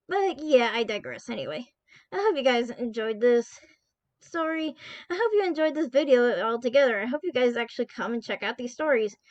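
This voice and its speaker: A woman's voice: on the high side, dry recording, lo-fi sound. A woman from Texas hosting her channel, chatting casually in a rambling way, with frequent swearing and restarts. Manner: rambling, profane, self-interrupting. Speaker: channel host. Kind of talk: casual chat.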